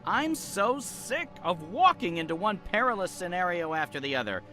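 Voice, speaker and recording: A small, cowardly bird sidekick from a video game, with a high, extremely animated voice. He grumbles and wisecracks melodramatically over prominent music. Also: moderate pace, exaggerated voice. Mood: grumbling. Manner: melodramatic sarcastic complaining.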